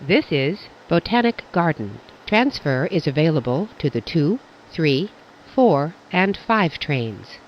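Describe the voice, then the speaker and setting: A transit announcer reading scripted train announcements in a formal, measured way. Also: medium pitch, very animated. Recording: dull, noisy train announcement recording.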